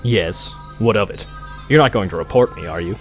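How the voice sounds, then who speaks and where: A man's voice: on the low side, animated, moderate pace, close to an everyday voice. A man playing a bitter, vengeful unicorn guard turned villain in a cartoon audio drama, haughty and dramatic. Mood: wary, threatening. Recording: music playing behind.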